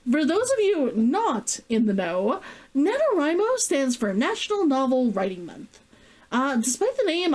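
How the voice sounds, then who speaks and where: A woman with a high-pitched voice and a highly animated delivery. She takes her time as she talks, in the giggly, effusive way of an online host sharing her own writing. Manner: giggly, effusive, drawn-out sighing interjections. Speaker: vtuber host and author. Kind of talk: casual excited chat and reading aloud from own novel.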